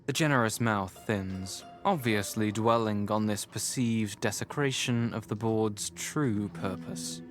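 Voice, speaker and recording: A man playing a grave, literary narrator of a gothic visual novel, telling it in a measured way. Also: on the low side, faint music behind, lightly acted.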